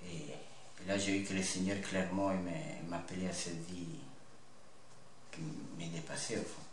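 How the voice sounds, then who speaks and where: Low-voiced young Italian man, echoey room. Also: little pitch movement. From north-east Italy (Friuli). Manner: earnest, halting phrasing with repeated words. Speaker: interview guest, seminarian about to be ordained priest. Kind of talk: personal testimony in an interview. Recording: echoey room with faint background noise.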